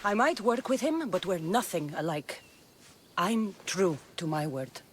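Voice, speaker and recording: A woman's voice: fairly low pitch, expressive, measured pace, slight background noise. An actress playing a tough young female mercenary in a video game cutscene, blunt, dry and sardonic. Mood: firm.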